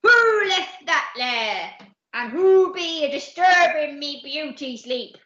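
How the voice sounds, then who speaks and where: A woman voicing a gruff, self-important male pirate captain in a storybook, roaring and grumbling in a West Country pirate accent. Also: sits high, animated, takes it slowly, bright, forceful tone, voice pushed up, heavily acted. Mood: outraged. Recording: echoey room, slightly dull sound.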